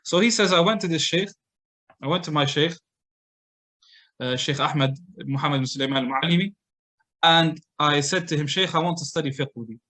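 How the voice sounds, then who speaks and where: A man's voice: a little high, a little fast, long pauses, pushed and bright. A man giving a mosque lecture, telling a scholar's story conversationally with frequent fillers and restarts. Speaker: Islamic lecturer. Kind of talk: casual lecture.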